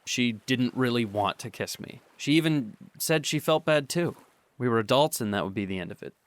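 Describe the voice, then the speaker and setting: A man's voice: medium pitch, lively intonation, moderate pace, natural voice. An actor playing a remorseful young male student in a radio drama, confessing hesitantly with stammers and restarts. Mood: guilty. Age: young adult.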